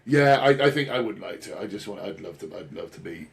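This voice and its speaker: A man's voice: fairly low pitch, animated, brisk, gravelly. A British man in his thirties co-hosting a podcast in a casual, rambling, self-deprecating way with blokey swearing. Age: 30s. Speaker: podcast co-host and bodybuilding coach.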